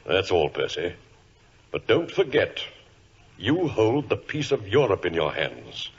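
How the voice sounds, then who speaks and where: An actor playing a grave, commanding senior government minister in a 1940s radio drama, solemn and confidential, with a husky voice. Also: male voice, sits low, big pitch swings, measured pace, noisy background, heavily acted. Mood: solemn, secretive. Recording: muffled vintage radio recording.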